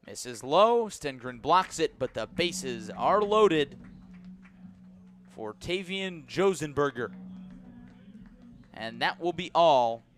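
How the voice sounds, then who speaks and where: Measured male baseball play-by-play announcer. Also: a bit bright. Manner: measured delivery, lifting on each pitch. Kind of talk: live radio sports commentary. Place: stadium press box.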